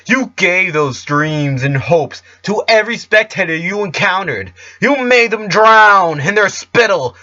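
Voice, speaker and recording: Guy doing a bossy villain pony diva lady for a story, sharp and goading, swearing, kinda lo-fi. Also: sits high, no echo, voice pushed up, exaggerated voice. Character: domineering, taunting pony diva, female alter-ego villain.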